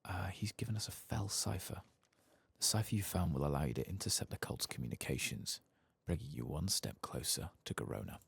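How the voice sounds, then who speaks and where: A man giving soft-spoken ASMR commentary on a video game, unhurried and often slipping into a breathy whisper. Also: low-pitched, expressive, no room sound.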